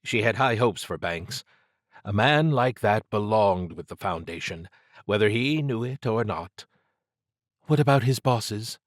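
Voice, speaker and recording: Guy narrating an audiobook story, lowish voice, really dry studio sound. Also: expressive, unhurried, no echo. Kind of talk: scripted dramatic fiction narration.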